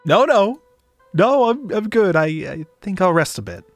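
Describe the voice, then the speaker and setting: A man voicing an awkward, grateful male spaceship passenger, halting and self-conscious, in a visual novel reading. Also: sits high, big pitch swings, dry recording, pitched above the performer's own voice, full character voice. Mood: flustered. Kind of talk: visual novel read aloud. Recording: dry close mic, background music.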